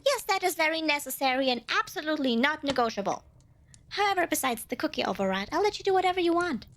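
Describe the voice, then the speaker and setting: A woman's voice: high voice, big pitch swings, fast talker, fairly bright tone, a little hiss or hum, exaggerated voice. A woman playing a tiny, proud and easily flustered female demon in an ASMR roleplay, boastful and indignant, often hedging and correcting herself. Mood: coaxing, cheeky. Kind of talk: ASMR roleplay addressed to the listener.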